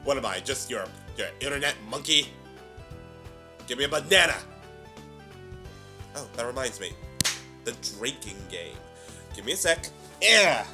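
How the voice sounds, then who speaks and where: A man hosting a reaction video with excitable, hammy, theatrical commentary. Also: medium pitch, a little slow, long pauses, music in the background.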